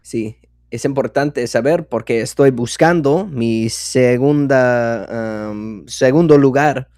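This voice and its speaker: A man's voice: mid-range voice, slow, a little mellow, no room sound, studio quality. A man taking part in a podcast chat as a language learner, asking questions hesitantly while he searches for words.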